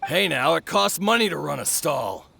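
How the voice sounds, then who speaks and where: A man playing a grumbling festival stall vendor in a video game, with a gravelly voice. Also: on the high side, expressive, moderate pace, bright, forceful tone, heavily acted. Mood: grudging. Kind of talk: video game character acting.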